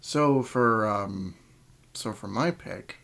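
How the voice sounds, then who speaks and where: A man hosting a casual podcast chat, talking in a halting, hedging way as he thinks aloud. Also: on the low side, gravelly, fairly bright tone. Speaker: podcast host.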